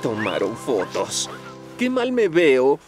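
A man's voice: medium pitch, huge pitch swings, takes it slowly, over loud music. A man voicing a touchy, sulky little steam train in a Latin American children's cartoon, grumbling and exclaiming indignantly.

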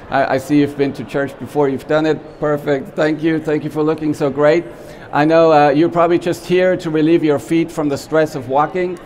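A man in his forties from Austria, with an Austrian German accent, giving a lively, joking trade-show talk full of fillers and restarts, on a small expo stage. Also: fairly high pitch, a little hiss or hum. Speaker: company CEO giving a conference talk.

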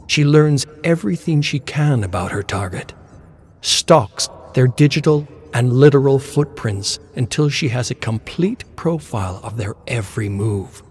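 A man reading game lore as dramatic scripted narration, in a deliberate storytelling manner with dramatic pauses. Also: mid-range voice, animated, darkish tone.

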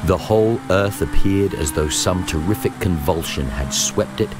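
A man reads a historical journal as scripted narration, in a measured, formal storytelling manner. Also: low voice, music in the background. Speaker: narrator reading a memoir.

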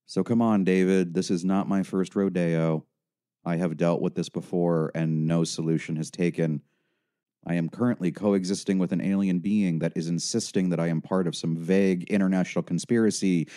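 Male narrator as troubled, paranoid man in audio fiction: low voice, curt, sarcastic, agitated and demanding. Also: little pitch movement, normal speed, mellow, no echo, clean studio sound, lightly acted. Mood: bitter, weary. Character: Dan, curt, paranoid, sardonic suicidal man on phone.

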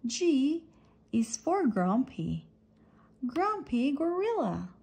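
Slow, high-pitched woman reading to children. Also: sing-song, creaky, darkish tone. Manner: deliberate, separates each letter and phrase. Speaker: reader of a children's picture book. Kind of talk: read-aloud of an alphabet picture book, teaching letters and words.

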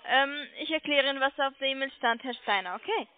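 A woman with a high-pitched voice makes a sales call. She is polite but persistent, coaxing the listener with questions. The sound is a dry, narrow telephone line. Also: fairly quick, no echo. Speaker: telemarketing caller selling online trading. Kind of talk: sales pitch over the phone. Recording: muffled phone line.

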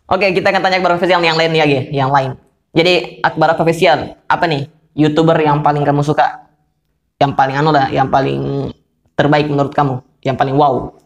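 A young man from Indonesia with a slightly hoarse voice, hosting a casual podcast call in a laid-back, chatty way. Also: on the high side, flat delivery, fairly quick. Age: young adult. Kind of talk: casual vlog-style phone interview. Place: small room, indoors.

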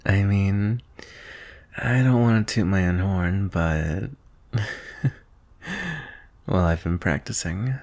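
A man acts out a shy, adoring young male best friend in a boyfriend ASMR roleplay. His voice is low and soft. He speaks slowly and hesitantly, with a nervous, tender manner. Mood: caring, surprised. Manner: hesitant, soft-spoken, breathy sighs and nervous chuckles. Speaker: audio roleplay performer. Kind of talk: boyfriend roleplay ASMR, intimate scripted acting.

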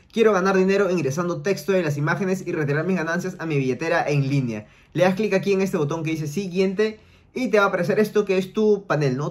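A young man hosting a casual screen-share tutorial, chatty and explaining each step with filler tags, recorded in a small room at home. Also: a little high. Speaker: online money tutorial host.

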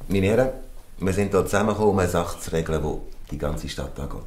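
A man plays a stern, pompous town mayor in a Swiss German radio play. The voice is low and sounds in an echoing room, full of self-important authority. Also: fast talker, a little mellow, natural voice. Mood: stern, official. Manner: pompous, official. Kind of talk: audio drama dialogue in dialect.

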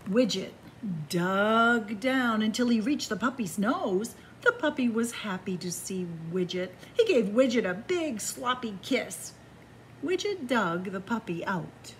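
A woman reading a picture book aloud to children in a library, with slow, expressive storytelling, hushed whispers and excited rises. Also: on the high side, a little hiss or hum, low-quality recording. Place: library in front of bookshelves. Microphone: camera facing the book.

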